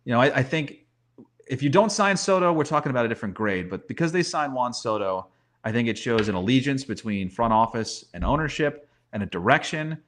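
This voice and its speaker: A man's voice: mid-range voice, expressive, brisk, a bit bright. A man giving opinionated, emphatic sports talk in a conversational way, often posing rhetorical questions. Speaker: sports commentator and guest.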